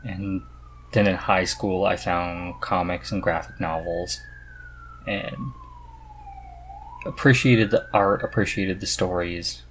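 Low-voiced man chatting, noisy recording. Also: fairly even intonation, takes it slowly, pauses often, a bit bright. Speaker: podcast host. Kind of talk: casual conversation about reading.

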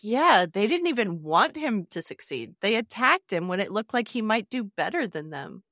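A woman's voice: mid-range voice, big pitch swings, moderate pace, fairly bright tone, no echo, studio quality. A woman co-hosting a podcast with casual, playful banter. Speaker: podcast co-host.

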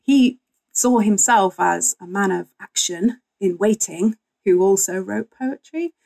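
Woman historian chatting, mid-pitched voice. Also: a little slow, creaky, dark tone. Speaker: guest author and historian. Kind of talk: casual conversational explaining.

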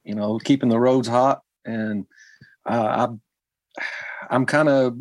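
A man in his forties from Kentucky, a musician guest talking casually with many pauses and restarts. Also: mid-range voice, steady tone, no echo. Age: 40s. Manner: halting, many ums and restarts. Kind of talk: casual interview chat.

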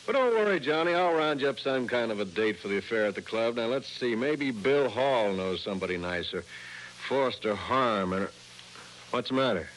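Male office pal, played by an actor, friendly and reassuring, average pitch, 1960s radio drama, slight noise. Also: lively intonation, moderate pace, fairly bright tone, natural voice. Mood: sympathetic. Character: friendly, helpful male office acquaintance of the detective. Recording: faintly noisy old radio recording.

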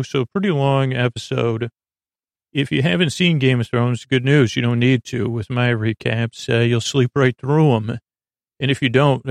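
A man hosting a silly bedtime podcast, talking in a meandering, halting way with frequent stammers and tangents. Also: medium pitch, gravelly, no echo. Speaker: podcast host.

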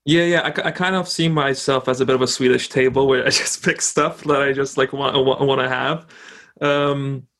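Gravelly-voiced young man, halting. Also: mid-range voice, steady tone, fairly bright tone. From Poland. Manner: hesitant, frequent restarts. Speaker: guest. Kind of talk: casual conversation.

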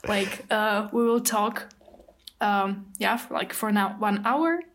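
A woman's voice: mid-range voice, fairly even intonation, slow, slight background noise. A woman in her early twenties co-hosting a casual podcast with a friend, talking with lots of fillers and small laughs. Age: 20s. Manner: hesitant, many fillers, giggly. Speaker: podcast co-host, psychology student. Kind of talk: casual chat.

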